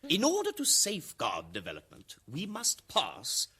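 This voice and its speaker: An actor playing a stern, menacing colonel who runs a regime's security, pompous and emphatic, in a satirical radio drama. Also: male voice, a little high, wildly swooping pitch, normal speed, sharp tone, husky, full character voice. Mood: officious.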